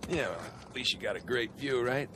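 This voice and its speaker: A man's voice: mid-range voice, animated, fairly quick, close to an everyday voice. An American actor in his thirties playing a wry, casual, adventurous treasure hunter in a video game. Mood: wry, curious. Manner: wry, casual, muttering to himself. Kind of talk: naturalistic video game acting. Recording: game audio under loud ambient noise.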